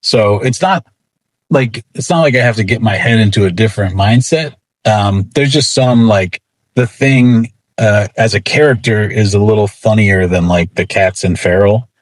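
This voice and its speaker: A man's voice: on the low side, normal speed. A man talking casually as an interviewed writer, rambling with lots of filler words and a wry, self-deprecating manner. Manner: rambling, frequent "like" and "you know". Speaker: comic book writer being interviewed.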